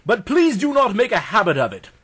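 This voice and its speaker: A man voicing a stuffy, pompous Edwardian gentleman adventurer narrating a pulp audiobook, formal and theatrical in manner. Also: high voice, very animated, a little fast, husky, voice pushed up, exaggerated voice. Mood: dry, affronted.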